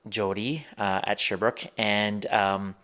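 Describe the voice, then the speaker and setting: A man hosting a podcast with a casual, unscripted introduction, often pausing mid-sentence with ums. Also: fairly low pitch, fairly even intonation, pushed and bright. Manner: halting, frequent ums and pauses mid-sentence. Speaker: podcast host. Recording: music playing behind voice. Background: music.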